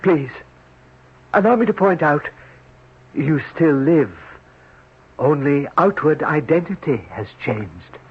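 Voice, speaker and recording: An actor playing a polite, sly demon posing as a servant, with husky, formal, clipped phrasing in an old radio drama. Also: male voice, a little high, animated, slow pace, long pauses, full character voice. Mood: politely sly. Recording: dull lo-fi old radio recording.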